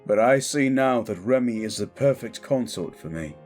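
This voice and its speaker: A man's voice: medium pitch, lively intonation, moderate pace, a little mellow, faint music behind, lightly acted. A man playing a proud, commanding royal heir in a fantasy audio drama, speaking in formal declarations. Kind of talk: dramatic audio roleplay acting.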